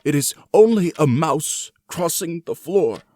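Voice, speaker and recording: A man acts out a fearful old man in a gothic horror storybook. The voice jumps high and anxious, pleading as it tries to calm itself. The sound is dry and close. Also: measured pace, dry recording. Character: frightened old man nervously trying to reassure himself. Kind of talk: dramatic gothic horror audiobook reading.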